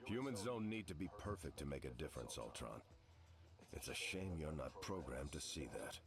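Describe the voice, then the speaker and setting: A man's voice: very deep voice, expressive, normal speed. A man voicing a humble, resolute veteran soldier superhero in a video game, earnest and plain-spoken. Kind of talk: video game hero dialogue.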